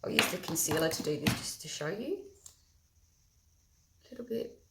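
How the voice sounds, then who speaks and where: A woman with a loud, husky voice, chatting through a casual makeup tutorial early in the morning before her coffee. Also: deep voice, animated, moderate pace, a little hiss or hum. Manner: loud, chatty, talks through each step. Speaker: makeup vlogger. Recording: echoey room.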